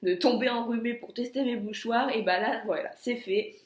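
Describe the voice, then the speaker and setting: A woman's voice: on the high side, moderate pace, roomy sound. A young woman vlogging casually with a stuffy, nasal voice from a cold, talking in a chatty way with many hesitations and restarts. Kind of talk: casual chat.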